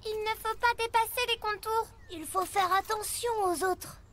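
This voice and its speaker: An extremely high-pitched little truck. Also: female voice, normal speed, a little hiss or hum. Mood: eager. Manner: excitable, childlike. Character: eager, squeaky little child mini trucks. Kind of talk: children's cartoon dubbing. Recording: loud music under the voice.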